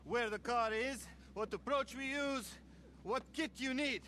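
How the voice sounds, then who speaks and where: A man's voice: very high-pitched, unhurried, bright, forceful tone, slight background noise, heavily acted. An actor playing an eager, apologetic young party-boy cousin in a video game, with a slangy, stammering delivery. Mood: eager, explaining. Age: young adult. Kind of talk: video game cutscene acting.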